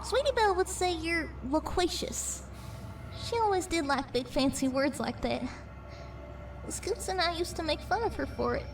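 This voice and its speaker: Blunt young pony girl, very high voice, Southern US drawl, doubtful, audio drama, slightly distant over faint music. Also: lively intonation, moderate pace. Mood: skeptical. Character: young female pony, three-legged, skeptical and plainspoken. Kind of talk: fan audio drama acting.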